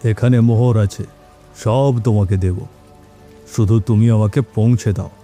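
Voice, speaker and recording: Horror-story role: a man plays an imperious, threatening landlord, very dark muffled tone, stern orders, music behind. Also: lowish voice, steady tone, unhurried, close to an everyday voice. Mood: commanding. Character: haughty, fearless, threatening male landlord. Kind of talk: Bengali horror story character voice.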